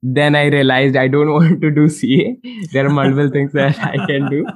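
A man's voice: on the high side, moderate pace. A man from India hosting an interview, talking in a friendly, chatty way and laughing between phrases. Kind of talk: casual interview chat.

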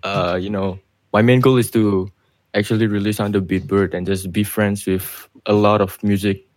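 A man hosting a casual group call, talking in a relaxed, hesitant way with many pauses. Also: on the low side, no room sound. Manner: relaxed, hesitant, soft-spoken. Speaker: podcast host, music producer.

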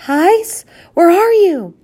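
A woman reader acts a hapless father mouse from a children's story. He is nervous and timid, with a high, plaintive voice. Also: takes it slowly, darkish tone. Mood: shaken.

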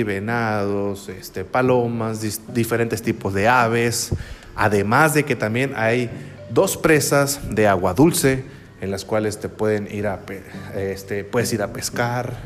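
A man's voice: on the low side, slight background noise. Chatty Sinaloan man, echoey room. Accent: northwestern Mexican Spanish (Sinaloa). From Sinaloa, Mexico. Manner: friendly, rambling, with fillers and laughs. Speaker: podcast host, radio announcer. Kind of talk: casual first-person podcast chat.

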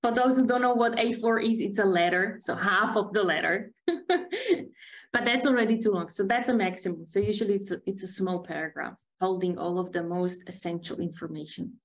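A woman co-hosting a casual work podcast, emphatic and quick to laugh. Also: medium pitch. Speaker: podcast co-host. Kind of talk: casual chat.

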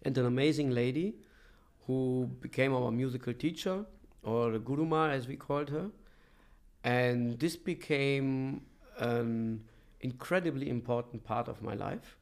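A middle-aged man from Germany telling his story as an interview guest, with halting, thoughtful pauses. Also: medium pitch. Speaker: podcast guest, professor and coach. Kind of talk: reflective conversational interview storytelling.

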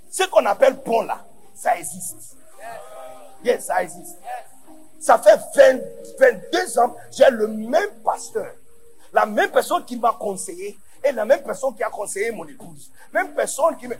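Ghanaian guy preaching in french, english is his first language, real high voice, quiet one second and shouting the next, faint music behind. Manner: swings from quiet asides to shouted emphasis. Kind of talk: sermon. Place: large church conference hall.

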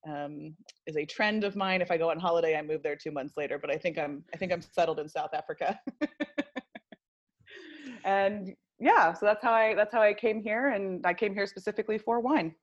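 A woman's voice: lowish voice, moderate pace, highs cut off. A woman in her thirties with an American accent, chatting casually as an interview guest with plenty of ums and uhs. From United States. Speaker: wine industry guest.

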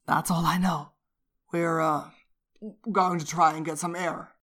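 A woman's voice: low voice, level tone, a bit bright. An earnest minor demon played by a woman in an audio drama. The words come in an urgent rush, plain and insistent. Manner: rushed, urgent. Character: Eric, hurried, earnest minor demon giving warnings. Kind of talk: audio fan fiction character acting.

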